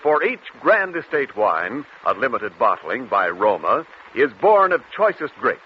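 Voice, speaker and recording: A male announcer delivers a vintage radio advertisement. His mid-range voice moves with lively melody, captured in dull 1940s broadcast sound with faint noise. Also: medium pitch, measured pace. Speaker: commercial announcer. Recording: muffled, noisy 1940s radio recording.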